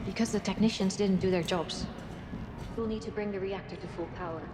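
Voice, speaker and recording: An actress playing a no-nonsense spaceship engineer in a game, speaking in a formal, matter-of-fact manner. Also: female voice, medium pitch, fairly even intonation, a little fast, noisy background, natural voice. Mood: dry, brisk. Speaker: ship's engineer. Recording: long hall reverb, faint music behind.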